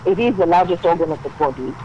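A woman, a dermatologist, calling into a radio show and explaining calmly, with many "you know"s. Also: on the low side, fast talker, slight background noise, low-quality recording. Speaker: dermatologist guest.

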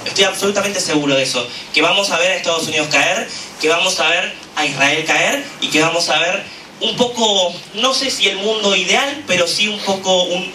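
A man with an Argentine accent giving an impassioned political speech at a gathering, full of "eh" pauses. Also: high voice. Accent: Argentine Spanish. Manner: fervent, with frequent "eh" fillers. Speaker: activist speaker at a political gathering. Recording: echoey room, from a distance, noisy.

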